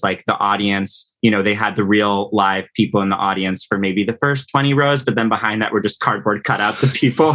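Male podcast guest, conversational and animated, with a lowish, bright, forceful voice. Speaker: podcast guest, composer and audio producer. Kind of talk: casual interview conversation.

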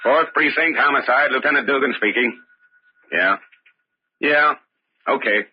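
A man plays a no-nonsense, skeptical police lieutenant in an old radio crime drama. He is curt and brusque. The muffled recording is buried in loud noise. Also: medium pitch, unhurried, close to an everyday voice. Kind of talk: old-time radio acting.

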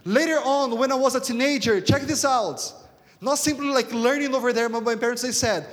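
A man's voice: extremely high pitch, a little fast. A man from Brazil with a Brazilian accent and Portuguese as his first language, preaching a sermon in an emphatic, repetitive way with rhetorical questions. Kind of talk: sermon to a congregation. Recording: reverberant hall sound.

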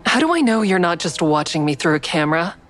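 Sardonic female lead, low voice, dry, guarded, audio drama, music underneath. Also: big pitch swings, fast, fairly bright tone. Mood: wary. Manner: dry, defiant, wry. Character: guarded, sardonic woman, crisis counselor with secret kills. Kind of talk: audio drama dialogue and first-person narration.

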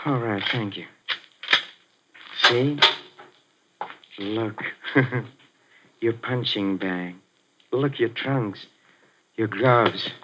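Sinister old trainer: soft and ingratiating, slow with giggles, 1940s radio, hissy and dull. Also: male voice, mid-range voice. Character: sinister, fawning old male boxing trainer.

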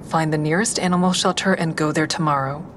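A low female voice from an actress plays a crisis line counselor in an audio drama. She is measured and candid, consoling without sugarcoating. A clear rumbling noise sits behind her. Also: fairly even intonation, natural voice. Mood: firm, encouraging. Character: woman crisis hotline counselor, calm, candid, dog owner. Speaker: crisis line counselor and inner-monologue narrator. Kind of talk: audio drama acting with first-person asides.

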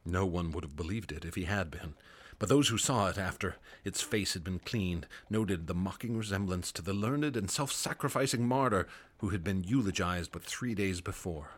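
An actor playing a grave male doctor narrating his testimony in a radio drama, measured and somber. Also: low-pitched. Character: grave male doctor recounting horrors as a witness.

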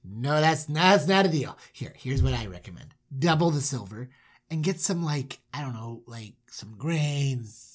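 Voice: medium pitch, animated, measured pace. A performer voicing a grumpy, stubborn old father who bargains in grumbling slang, in a comic Bible retelling. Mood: bargaining.

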